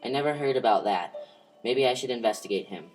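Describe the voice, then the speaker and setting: A man's voice: mid-range voice, animated, fast, faint music behind, close to an everyday voice, rough recording. A man playing an earnest police detective in an amateur old-time radio mystery. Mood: questioning. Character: male police detective, earnest partner investigating a murder.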